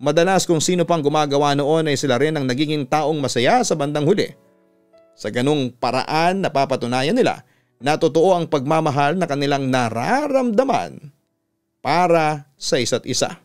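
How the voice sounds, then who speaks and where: Male Filipino radio host for narrated letters: clean, resonant tone, slightly high register, soft music underneath. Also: animated, moderate pace, clean tone. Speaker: radio announcer and story host. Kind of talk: scripted narration of a listener's letter.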